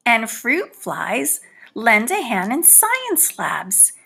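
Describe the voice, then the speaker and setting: Storybook housefly, acted by a woman: indignant, easily hurt, boastful, theatrical; slow, forceful bright delivery. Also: a little high, wildly swooping pitch, vocal fry, slightly rough, exaggerated voice. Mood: proud, explaining. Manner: theatrical, pleading, mock-wounded and boastful. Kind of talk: storybook read-aloud in character.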